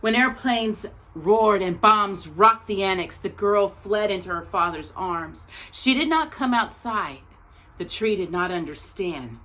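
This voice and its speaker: Slow-paced woman reading a storybook aloud. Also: mid-range voice, lively intonation, sharp tone, rough recording. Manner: deliberate, dramatic pauses between short phrases. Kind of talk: read-aloud children's storytime, expressive narration.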